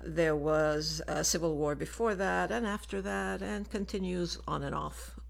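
An older woman who grew up in Lebanon, speaking as a guest in a radio studio interview and explaining things in a measured way. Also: fairly low pitch, fairly even intonation, creaky. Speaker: refugee program director, guest.

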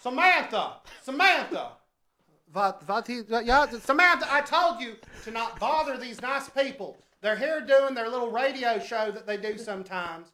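A man voicing a loud, blustering older man who barges in yelling for someone in a comedy sketch. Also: very high voice, animated, moderate pace, exaggerated voice. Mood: scolding. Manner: shouting, blustering.

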